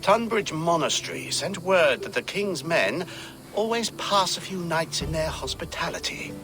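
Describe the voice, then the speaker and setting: An actor playing a scheming, ambitious churchman in a video game, speaking in a measured, formal, calculating way. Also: fairly high pitch, animated, music in the background, close to an everyday voice. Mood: smug, calculating. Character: scheming, ambitious churchman, smooth and self-serving. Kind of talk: scripted video game dialogue.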